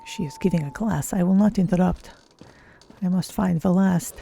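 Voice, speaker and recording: A mild, thoughtful cat-person mage, put on by a woman for a game roleplay. The voice is soft-edged and dark with plenty of creak. The phrasing is measured and formal. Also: on the low side, slight background noise. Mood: musing.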